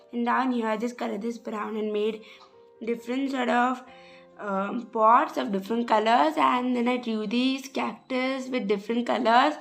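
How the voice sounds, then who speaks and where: A young woman with a slightly rough voice, hesitantly walking through her journal pages in a casual voice-over. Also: fairly high pitch, fairly bright tone. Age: young adult. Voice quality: hesitant, many "sort of" fillers. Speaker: journal vlogger. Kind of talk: casual craft walkthrough voice-over.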